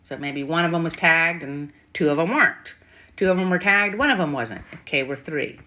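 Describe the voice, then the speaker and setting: Low-pitched woman teaching math informally. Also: moderate pace, bright, forceful tone. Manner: thinking aloud, frequent check-in questions. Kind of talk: informal whiteboard math tutorial. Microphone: screen-recording voice-over. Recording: faint background noise with clicks.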